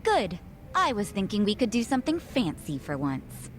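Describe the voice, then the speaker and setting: A woman's voice: mid-range voice, very animated, moderate pace, noisy background, natural voice. An actress playing a sassy, confident woman in a video game cutscene, teasing and breezy. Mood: assertive.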